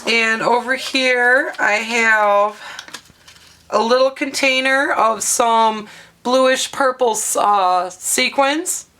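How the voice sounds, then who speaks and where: A woman with a hoarse, half-lost voice, chatting through a craft tutorial at her table and showing items with plenty of ums and uhs. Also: fairly high pitch, very slow, bright, forceful tone. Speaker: craft vlogger. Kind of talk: casual show-and-tell craft tutorial.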